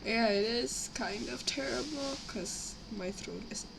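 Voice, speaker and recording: A young woman with a slightly raspy voice from a cough, rambling casually as she chats on a live stream while building models. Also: a little high, measured pace. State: sick with a cough, itchy throat. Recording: clear background noise, home livestream.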